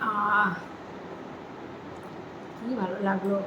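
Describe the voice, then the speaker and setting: A woman's voice: mid-range voice, little pitch movement, very slow. A middle-aged woman chatting casually to her viewers on a vlog, talking to them directly and repeating herself as she goes. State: out of breath from walking. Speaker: vlogger. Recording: echoey with loud background noise.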